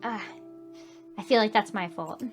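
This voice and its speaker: A woman's voice: mid-range voice, measured pace, music in the background. A woman hosting a gaming video, reading story narration expressively and adding emotive, reactive commentary. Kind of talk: expressive game read-aloud with commentary. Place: voice-over on gameplay with game music behind.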